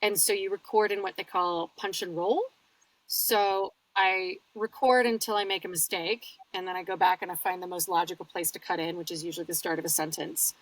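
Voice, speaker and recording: A woman's voice: fairly low pitch, fairly even intonation, fairly quick, no room sound, far from the mic, rough recording. A woman audiobook narrator, talking casually and explaining her work in an interview, laughing easily. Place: home studio.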